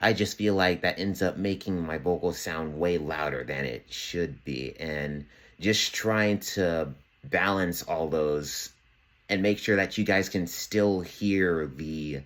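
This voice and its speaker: A young man with a bassy voice, casually walking through his home production setup with lots of fillers. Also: takes it slowly. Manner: relaxed, chatty, many fillers. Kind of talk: casual tutorial walkthrough. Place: small home studio room.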